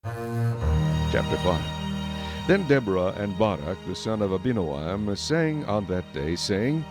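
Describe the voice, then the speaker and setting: Deep, animated male Bible narrator. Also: takes it slowly, music in the background. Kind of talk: scripted dramatic Bible narration.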